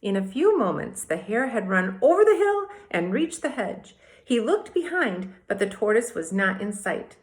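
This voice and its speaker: A woman teacher reading aloud and explaining to young children in a deliberate, expressive way, speaking to them directly. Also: medium pitch. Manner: deliberate, addresses children directly with questions and asides. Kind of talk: expressive children's read-aloud and mini lesson.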